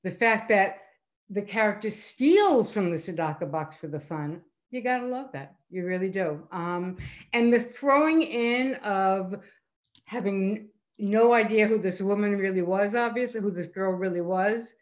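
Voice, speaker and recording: A woman's voice: medium pitch, unhurried. An older woman speaking off the cuff as a judge, giving emphatic, complimentary critique. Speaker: guest judge at a story slam. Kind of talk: spontaneous spoken critique.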